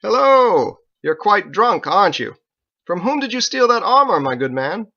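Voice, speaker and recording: A pompous knightly lawman, acted by a male narrator in a storybook, immaculate and smugly sure of himself. He speaks in formal, haughty phrases with a bright, forceful edge, pitched up, on a slightly dull recording. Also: very animated, normal speed, full character voice. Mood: cheerfully haughty.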